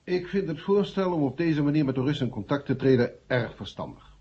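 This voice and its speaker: A decisive crisis-council chairman in a vintage radio drama. His very husky voice moves widely in pitch with formal authority, on a muffled recording. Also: expressive, fairly quick. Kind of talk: 1970 Dutch radio play acting. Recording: dull old radio play recording.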